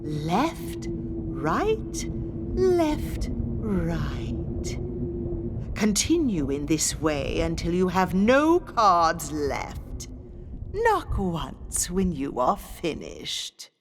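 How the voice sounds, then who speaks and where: A woman's voice: lowish voice, huge pitch swings, very noisy, full character voice. A woman playing an imperious, teasing ghostly spirit medium, leading a ritual in a formal, mysterious audio drama style. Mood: commanding, measured. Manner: slow, dramatic, mysterious, commanding delivery with formal old-fashioned wording. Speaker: séance guide leading a card ritual. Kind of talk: scripted theatrical audio drama narration.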